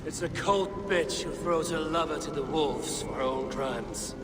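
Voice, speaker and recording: An actor playing a stern, vengeful Viking warrior in a video game, blunt, commanding and intense. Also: male voice, a little high, unhurried, heavy background noise, close to an everyday voice. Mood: threatening. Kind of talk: dramatic video game acting. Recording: long reverb, music behind.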